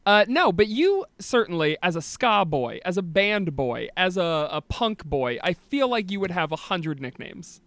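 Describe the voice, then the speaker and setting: A man hosting a podcast in casual, joking, animated banter. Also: high-pitched, a little slow, few pauses, no room sound. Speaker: podcast host.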